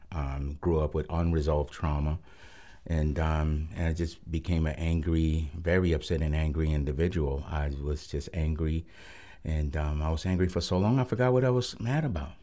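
A man from Rico, a Spanish speaker, giving a halting, reflective personal testimony as a guest pastor on a radio show. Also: very deep voice, warm tone. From Puerto Rico. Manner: halting and reflective, with frequent pauses.

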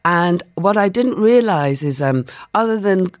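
A woman's voice: fairly low pitch, animated, measured pace, no echo, studio quality. A woman from Ghana and the UK, a chef answering interview questions conversationally, thoughtful and a little hesitant in her phrasing. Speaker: chef and business owner, interview guest. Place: radio studio.

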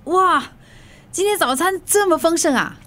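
A woman's voice: very high-pitched, expressive, a little slow, sharp tone, exaggerated voice. An actress playing a grumpy, childishly lazy and playful middle-aged mother in a Mandarin radio drama.

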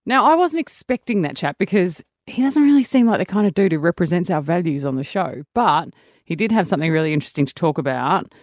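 A woman co-hosting a radio show, casual and wry, with a deep voice for a woman. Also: animated, quick pace, a little mellow, no echo, clean studio sound. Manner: wry, self-deprecating. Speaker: radio co-host.

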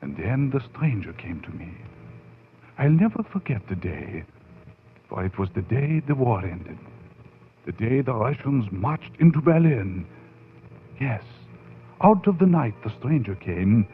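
Brooding, obsessed professor, wildly swinging delivery. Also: male voice, medium pitch. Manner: theatrical, brooding, swelling to fervent outbursts. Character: obsessed male professor scientist bent on raising the dead. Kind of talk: 1940s radio horror acting, dramatic first-person narration. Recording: noisy, muffled phone-like 1940s radio recording.

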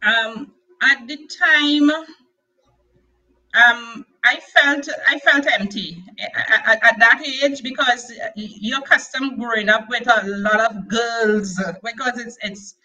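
Bright-voiced Tobagonian woman telling her story. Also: a little high, slow, rough recording. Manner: soft-spoken, hesitant with many fillers and restarts. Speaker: guest sharing her testimony. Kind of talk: personal testimony interview.

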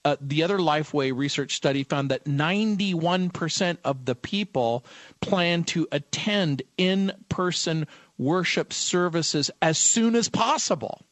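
A man's voice: a little high, unhurried, a bit bright, no echo. A man hosting a radio talk show, speaking thoughtfully and quoting scripture. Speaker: radio host.

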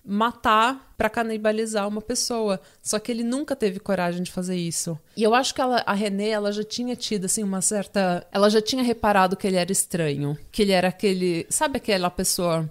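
Mulher jovem, sotaque brasileiro, tom de conversa, contadora de histórias. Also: female voice, medium pitch, fairly quick, hardly any pauses. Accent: Brazilian Portuguese. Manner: chatty storyteller, laughs often, many fillers. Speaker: podcast host telling a true crime story. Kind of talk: casual chat.

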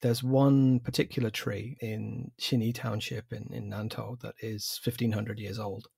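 A man in his forties with an English accent, a publisher answering interview questions in a measured, thoughtful way with some fillers. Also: on the low side, flat delivery, gravelly, a little mellow, slightly rough, no room sound, clean studio sound. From England. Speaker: publisher, guest.

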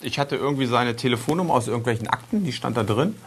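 A man's voice: medium pitch, lively intonation, fast, a little hiss or hum. Male journalist recounting a story. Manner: storytelling, hesitant with fillers. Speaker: investigative journalist, guest. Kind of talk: podcast conversation.

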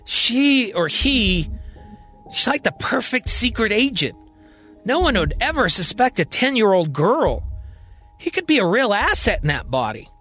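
Eager idea man read by a male storyteller, persuasive, high voice, science-fiction serial, soft music behind. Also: sing-song, unhurried, full character voice. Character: eager male schemer full of bold ideas.

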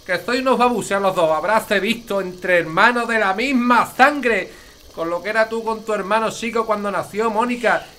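A woman's voice: medium pitch, moderate pace, a bit bright, close to an everyday voice. An actor voicing a scolding but affectionate old village grandmother with an Andalusian accent, folksy and exclamatory, in an audio family comedy. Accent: Andalusian Spanish.

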